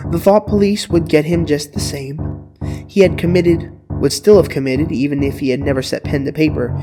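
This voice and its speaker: A man narrating a dramatized literary audiobook with earnest, dramatic phrasing and deliberate pauses. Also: a little high. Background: guitar music.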